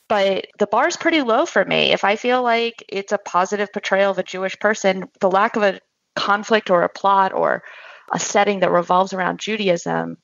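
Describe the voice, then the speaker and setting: A woman's voice: medium pitch, gravelly, a bit bright, no room sound. A woman on a podcast panel, speaking reflectively and personally. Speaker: panel guest. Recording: dry, slightly dull audio.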